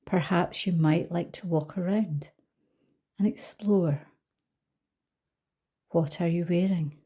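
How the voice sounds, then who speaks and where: A woman leading a guided visualisation as a coach, speaking calmly and gently with soft trailing phrases. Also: on the low side, takes it slowly, breathy edge. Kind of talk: guided meditation narration.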